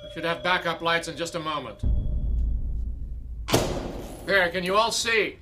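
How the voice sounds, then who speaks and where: A man's voice: on the high side, lively intonation, measured pace, over music. A man acting in a radio drama as a stern, exasperated theatre director, commanding and sarcastic. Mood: weary, sarcastic. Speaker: actor.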